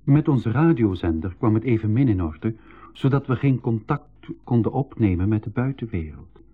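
A man's voice: lowish voice, lively intonation, moderate pace, breathy edge, narrow, tinny audio. A man with a Flemish accent playing a gloomy young brother who also narrates the adventure, in a 1980s school radio drama. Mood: sombre narrating. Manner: pessimistic.

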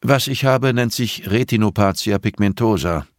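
A man voicing a composed, middle-aged blind teacher in an audiobook, patient and measured, with a dryly pointed way of explaining. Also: fairly low pitch, no room sound, studio quality, voice pushed up, natural voice. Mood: calm, reflective. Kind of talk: audiobook character dialogue.

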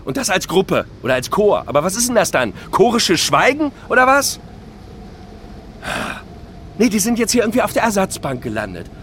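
A man's voice: high-pitched, big pitch swings, normal speed, fairly bright tone, slight background noise. An actor playing an aggrieved middle-aged man in a theatrical monologue, whining and stammering in indignant, self-pitying questions. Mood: aggrieved, sarcastic.

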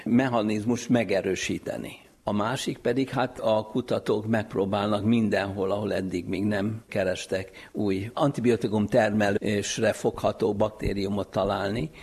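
A man, explaining things in a measured way as an expert being interviewed. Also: fairly low pitch, steady tone, darkish tone, slightly rough. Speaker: infectious disease specialist being interviewed.

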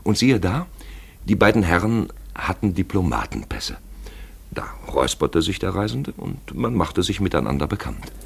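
A man narrating a literary radio play in an expressive, deliberate storytelling manner, with a gravelly, husky voice. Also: deep voice, a little hiss or hum.

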